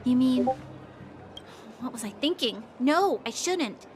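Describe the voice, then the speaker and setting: An actress playing an earnest, dutiful young female officer in a video game, speaking in a measured, formal, reflective way. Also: high voice, animated, a little hiss or hum. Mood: troubled, hesitant. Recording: faint music underneath.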